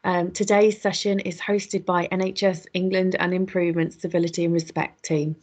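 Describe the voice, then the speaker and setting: A woman hosts an online webinar, her voice a little croaky today. She talks in a chatty, friendly way, often pausing with ums and correcting herself mid-sentence. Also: fairly low pitch, level tone, a little mellow. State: slightly croaky voice. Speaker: webinar host. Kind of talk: welcoming an online audience, housekeeping.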